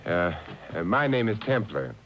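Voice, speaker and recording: An actor playing a suave, unflappable amateur sleuth with a dry, urbane manner in a 1950s radio mystery. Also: male voice, on the low side, very animated, moderate pace. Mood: dry, polite. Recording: noisy old radio broadcast taped on cassette.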